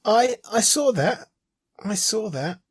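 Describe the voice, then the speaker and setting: A man with a husky, slightly rough voice co-hosts a podcast. He gives his opinions in casual chat, repeating phrases for emphasis. The sound is close and dry. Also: high-pitched, lively intonation, slow pace, no room sound. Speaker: podcast co-host. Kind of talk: casual chat and informal reviews.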